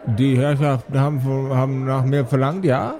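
A man playing a courteous, matter-of-fact cook with metal limbs, formal and measured, in a tabletop audio game. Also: mid-range voice, fairly even intonation, a little mellow, lightly acted.

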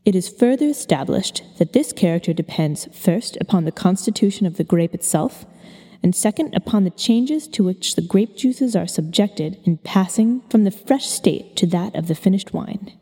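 A woman reading aloud the formal words of a nineteenth-century government chemist, in a measured recitation. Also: mid-range voice, expressive, warm tone, breathy edge, long reverb tail.

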